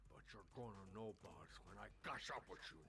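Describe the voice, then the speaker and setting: Sleazy, gravelly club boss villain. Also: male voice, on the low side, lively intonation, brisk, bright, forceful tone, heavily acted. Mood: furious, defiant. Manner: lecherous, blustering. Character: sleazy, foul-mouthed male club boss, menacing bully. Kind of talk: video game villain acting.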